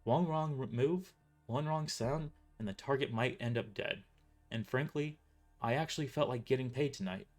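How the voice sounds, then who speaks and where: A man's voice: medium pitch, moderate pace, a little mellow. Man narrating a story over music. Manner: stumbles over words while reading. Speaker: author narrating own novel. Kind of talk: first-person dramatic story narration.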